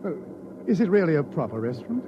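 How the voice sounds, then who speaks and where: A man's voice: fairly high pitch, very animated, quick pace, noisy background. An actor playing a polite, curious sociologist visiting as a layman in an old-time radio drama, hesitant and inquisitive. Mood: amazed, hesitant. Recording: dull vintage radio recording.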